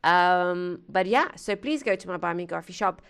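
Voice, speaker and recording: A woman's voice: lowish voice, animated, moderate pace, creaky, fairly bright tone, clean tone. A woman hosting a music reaction channel, chatting casually to viewers from her home studio through a gaming headset, rambling with lots of fillers and asides and laughing at herself.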